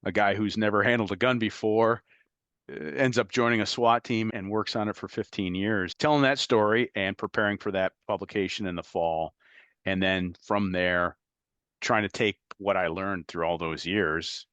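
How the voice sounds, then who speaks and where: Deep-voiced man recalling stories, dry sound. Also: fairly even intonation, normal speed, a bit bright, no echo. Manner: reflective, chuckles while telling stories. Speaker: guest, former FBI agent. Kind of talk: conversational interview.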